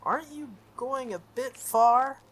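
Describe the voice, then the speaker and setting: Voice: high voice, measured pace, fairly bright tone, voice pushed up. The player of a visual novel voicing a timid teenage schoolgirl who protests earnestly.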